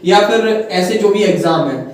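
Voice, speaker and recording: A man's voice: high voice, animated, measured pace. An Indian man hosting a conversational exam-preparation interview, agreeably echoing and adding to what his guest says. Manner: agreeable, echoes the guest's words. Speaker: interviewer and host. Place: reverberant indoor room. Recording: very echoey room, distant microphone.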